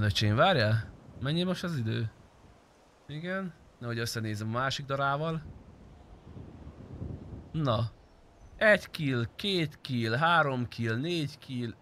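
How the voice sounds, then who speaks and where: Bright-voiced young man streaming a game. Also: medium pitch, lively intonation, long pauses. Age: young adult. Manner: blunt, profane, slangy. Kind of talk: casual livestream chat while gaming. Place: indoor streaming room.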